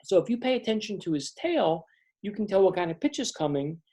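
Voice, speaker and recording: A man voicing an eager young female possum baseball player, pleading and excited as he reads his story aloud. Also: sits high, brisk. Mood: explaining.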